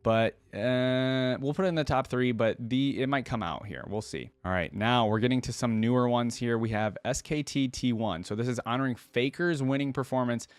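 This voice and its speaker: A man's voice: medium pitch, moderate pace, hardly any pauses, clear, resonant tone, no echo. A man hosting a reaction video, commenting casually and thinking aloud in an informal way.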